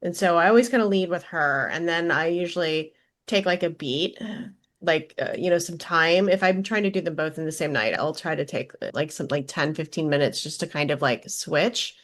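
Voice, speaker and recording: A woman giving a casual, reflective interview answer as a voice actress, in a halting way as she thinks aloud. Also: low-pitched, fairly bright tone.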